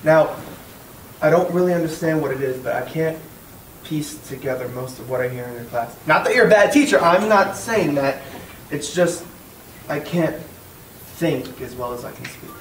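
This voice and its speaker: A man's voice: a little high, lively intonation, moderate pace, slight background noise, natural voice. A young man playing a frustrated student who talks back bluntly. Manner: blunt, exasperated. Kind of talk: stage scene. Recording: echoey room from far off.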